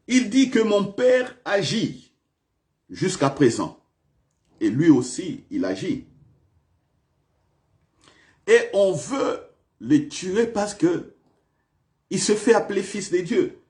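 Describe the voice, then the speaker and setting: A male pastor preaching a sermon in a church, repeating questions to the congregation with big swings of emphasis. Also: high voice.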